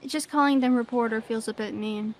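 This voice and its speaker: A woman voicing a timid, shrinking young boy in an audio drama, hesitant and halting. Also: on the high side, close to an everyday voice. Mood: shy, nervous.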